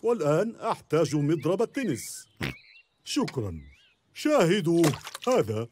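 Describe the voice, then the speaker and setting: A man's voice: a little high, very animated, moderate pace, darkish tone, slight background noise. An actor voicing a cheerful, easygoing father pig in a dubbed cartoon, jolly and reassuring.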